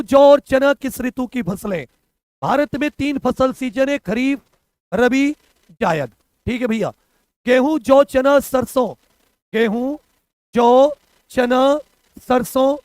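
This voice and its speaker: A hoarse young man teaching a live online exam-prep class, repeating answers and quizzing his students. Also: extremely high pitch, a little mellow, no echo. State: hoarse from a cough.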